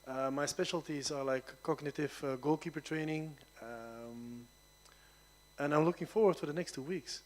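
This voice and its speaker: A man's voice: on the high side, moderate pace, slight background noise. A man, a goalkeeper coach introducing himself plainly at a press conference. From Belgium.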